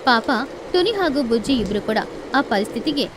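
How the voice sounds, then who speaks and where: A woman's voice: sits high, expressive, moderate pace, background noise. A woman narrating a children's bedtime story as the storyteller. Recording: music behind the voice.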